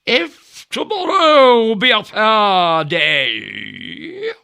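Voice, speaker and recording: Sneering shakespeare fool, gleeful, super swoopy voice, creaky at the edges, taking his time. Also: high voice, huge pitch swings, drawn-out words, bright, forceful tone, full character voice. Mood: pompous parody. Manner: theatrical, exaggerated mockery with frequent chuckles. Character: scurrilous mocking Greek camp fool, gleefully sneering. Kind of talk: Shakespearean audio drama acting.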